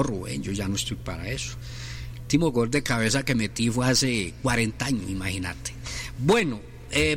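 A man's voice: mid-range voice, fairly bright tone, a little hiss or hum. Chatty sports radio man. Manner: rambling, joking, chatty. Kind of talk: casual radio talk with sponsor reads.

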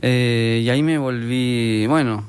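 A young Argentine man with an Argentine accent, chatting in an interview as a guest painter and illustrator, relaxed and a bit rambling. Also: medium pitch, slow pace, smooth. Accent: Argentine Spanish. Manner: relaxed, rambling, self-deprecating. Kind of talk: casual interview chat.

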